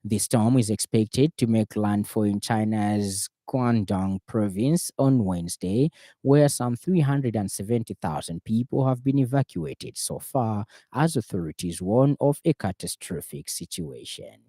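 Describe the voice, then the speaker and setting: A man's voice: on the low side, animated, measured pace, mellow, no echo. A man with a Zambian accent reading a scripted news bulletin on the radio from a studio.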